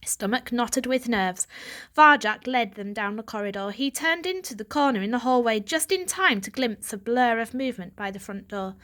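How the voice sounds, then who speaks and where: A woman narrating a children's story aloud with an expressive, dramatic delivery. Her voice sits fairly high. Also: moderate pace. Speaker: audiobook reader.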